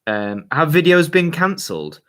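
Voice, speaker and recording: Hoarse young man chatting. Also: on the high side, big pitch swings, normal speed, fairly bright tone. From Yorkshire, England. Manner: chatty, laid-back, lots of fillers and slang. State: slightly hoarse. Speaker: YouTube channel host. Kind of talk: casual livestream chat, reading viewer questions.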